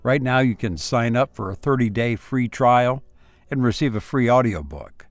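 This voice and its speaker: A man speaking as a podcast host and story reader, with measured, deliberate phrasing, close on a voice-over mic. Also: mid-range voice, animated, no echo. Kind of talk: podcast introduction and audiobook-style narration.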